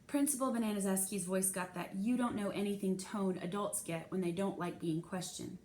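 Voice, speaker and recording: A woman reads a children's novel aloud with expression. Her voice sits at a medium pitch, and clear background noise runs through the recording. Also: fairly even intonation, a little fast, darkish tone. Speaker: storybook reader.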